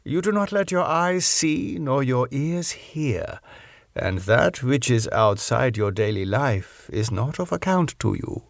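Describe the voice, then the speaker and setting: A man voicing an older professor in an audiobook, earnest and philosophical, putting questions in halting, foreign-sounding English. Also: medium pitch, sing-song, gravelly, no echo, studio quality, exaggerated voice. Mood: earnest, chiding. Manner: rhetorical questioning, halting non-native English phrasing. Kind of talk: audiobook character acting.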